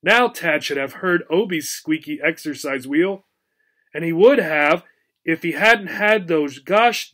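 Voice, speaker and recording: A man reading a children's book aloud as a teacher, in an expressive storybook narration style. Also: high voice.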